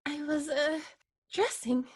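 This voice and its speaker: A woman playing a timid, halting young niece in an amateur radio-style mystery. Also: high voice. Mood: nervous.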